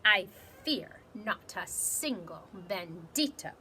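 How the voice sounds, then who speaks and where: A woman's voice: mid-range voice, animated, slow pace, sharp tone, a little hiss or hum, voice pushed down, heavily acted. A woman voicing a small male dog sword fighter, brave but jittery, in a theatrical storybook reading. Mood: timid bravado.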